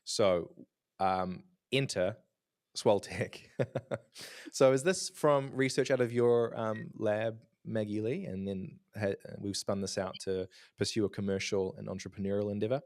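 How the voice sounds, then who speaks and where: Deep-voiced man hosting a podcast. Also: steady tone, unhurried, a little mellow. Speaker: podcast host. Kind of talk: podcast interview.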